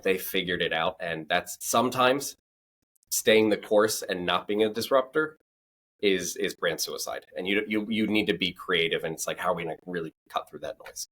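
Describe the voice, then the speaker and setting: A man's voice: sits low, a bit bright, a little hiss or hum. A man talking conversationally as an expert guest on a podcast, explaining things with assurance.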